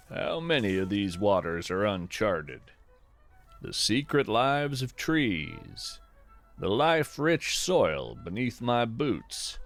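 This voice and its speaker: A man hosting a podcast with scripted, reflective nature narration, contemplative and deliberate with a gently wry touch. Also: medium pitch.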